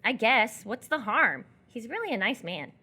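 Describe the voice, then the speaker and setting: A woman playing a coy, lonely young captive in live radio theatre, playful and evasive, with hesitant pauses. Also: fairly high pitch, very animated, sharp tone, breathy edge, exaggerated voice. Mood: evasive, defensive.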